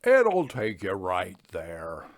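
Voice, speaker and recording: Male narrator as guarded country man, rustic phrasing, slow, few words, storybook character, dry sound. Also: sits low, huge pitch swings, dry recording, pitched below the performer's own voice, exaggerated voice. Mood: plain, helpful. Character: laconic male English country local, guarded and wistful.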